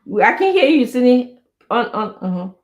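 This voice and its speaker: Middle-aged Liberian woman urging gently but emphatically. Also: a little high, very animated, moderate pace, muffled recording. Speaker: guest speaker giving parenting advice. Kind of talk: earnest advice in a conversational online talk.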